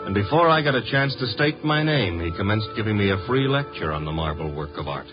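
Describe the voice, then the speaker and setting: An actor playing a streetwise, wisecracking private detective's assistant in a 1950s radio drama, with a breezy, sardonic, slangy manner. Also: male voice, on the low side, big pitch swings, a little fast, over music. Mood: wry. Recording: muffled, noisy 1950s radio recording.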